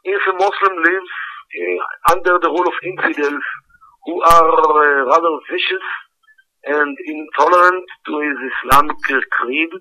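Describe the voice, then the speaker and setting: An older man from Israel, a scholar answering interview questions in a halting way with many fillers, heard over a phone line. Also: a little high, fairly even intonation, sharp tone. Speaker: interview guest, scholar of Arabic.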